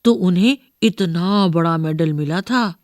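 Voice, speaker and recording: A woman's voice: lowish voice, a little mellow, dry recording, clean studio sound, natural voice. A woman voicing a rambling, doting old grandmother who keeps correcting herself in a storybook reading. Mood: reminiscing, muddled. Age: older. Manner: slow, rambling, self-correcting.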